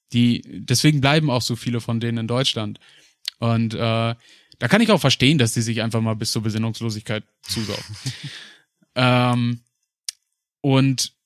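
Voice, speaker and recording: A young man from Germany chats on a podcast, rambling through personal stories with plenty of fillers and easy laughs. His voice sits at a middling pitch. The recording is close and dry, with no room sound. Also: no echo. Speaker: podcast co-host. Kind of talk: casual chat.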